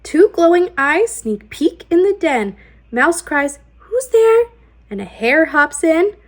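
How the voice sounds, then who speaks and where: Woman, high voice, slow measured pace, sing-song children's read-aloud, very animated melody. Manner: sing-song, deliberate rhythmic phrasing. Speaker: children's storytime host and read-aloud reader. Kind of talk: expressive picture-book read-aloud.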